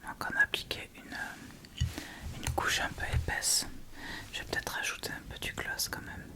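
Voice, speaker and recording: A woman speaks in a soft, breathy whisper, casually chatting and thinking aloud through an ASMR tutorial close to the mic. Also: moderate pace. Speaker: ASMR video host.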